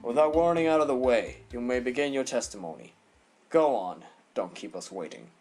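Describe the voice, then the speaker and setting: A man's voice: medium pitch, sing-song, moderate pace, over loud music. A man voicing a stern, condescending animal prosecutor with a formal, haughty manner in an audio drama.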